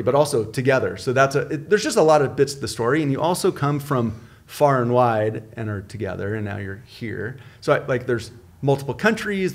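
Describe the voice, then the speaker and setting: A man hosting a podcast interview in a relaxed, upbeat, chatty way, joking with his guests. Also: medium pitch. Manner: upbeat, chatty, quick to joke. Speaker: podcast host. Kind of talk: casual interview chat.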